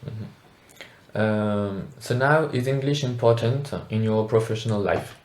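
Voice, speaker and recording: A man's voice: on the low side, a little slow, a little mellow. A man interviewing someone, asking brief plain questions with the odd filler. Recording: roomy echo.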